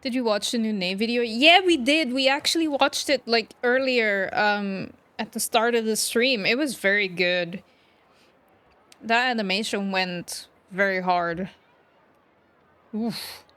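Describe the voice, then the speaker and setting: A woman's voice: fairly high pitch, unhurried, fairly bright tone, no room sound. A woman live-streaming a game, chatting casually and reacting aloud in short informal asides. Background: game music.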